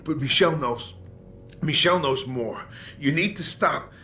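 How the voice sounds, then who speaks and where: A man playing a wounded, bitter investigator in a video game drama, halting and sardonic as he struggles through pain. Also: on the high side, sharp tone, slight background noise. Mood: desperate, pained. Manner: halting, sardonic, repeating himself. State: in pain, slurring and faltering. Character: gravely wounded, bitter, foul-mouthed older investigator on morphine.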